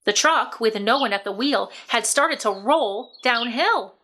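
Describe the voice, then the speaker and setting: A woman narrating a children's book aloud in an expressive, scripted storybook style. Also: a little high, measured pace, slight background noise.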